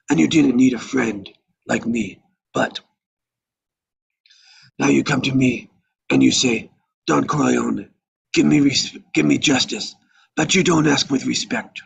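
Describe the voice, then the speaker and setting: A heavily husky, creaking old mafia godfather. He weighs every word with slow reproach and measured menace, as in a crime film script read aloud. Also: medium pitch, heavily acted. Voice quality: husky, gravelly rasp. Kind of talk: live table read of a film screenplay.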